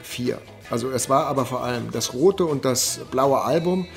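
A man's voice: mid-range voice, normal speed, gravelly, faint music behind. A man telling personal memories in an interview, anecdotally, correcting himself as he goes. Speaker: theatre dramaturg, interviewee.

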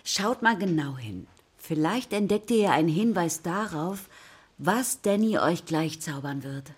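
An actress playing a composed, encouraging school teacher in a children's radio play. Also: female voice, on the low side, animated, unhurried, breathy edge. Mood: explaining.

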